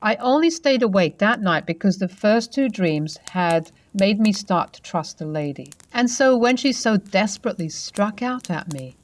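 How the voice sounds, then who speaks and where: A woman host reading a first-person paranormal story aloud and then chatting about it in a calm, measured way. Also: medium pitch. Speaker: host and narrator.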